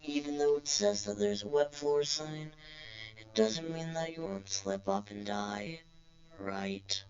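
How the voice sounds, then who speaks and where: Creepy cute game robot, super slow and singsong, pitch swooping around, muffled lo-fi mic with hum. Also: expressive, gravelly. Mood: coy, menacing. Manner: slow, drawn-out, theatrical sing-song delivery. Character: cutesy, eerie caution bot robot, singsong announcer. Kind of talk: fan-made video game character impression. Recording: dull lo-fi audio, loud hum.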